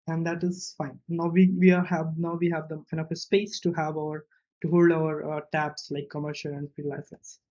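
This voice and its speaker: A man presenting a casual screencast coding tutorial, thinking aloud step by step in a halting way with little check-in tags. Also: fairly high pitch, little pitch movement, a little mellow. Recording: dull, muffled lo-fi audio.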